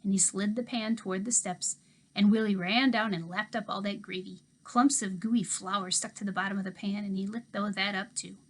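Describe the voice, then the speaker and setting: A woman teacher reading a children's novel aloud to her class, expressive, dropping to a hush for asides. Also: medium pitch, normal speed. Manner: expressive storytelling, hushed asides.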